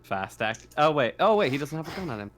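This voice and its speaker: A man running a tabletop sci-fi roleplaying game as game master, casual and halting as he thinks aloud. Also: a little high, very animated, crowd noise. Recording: faint background noise.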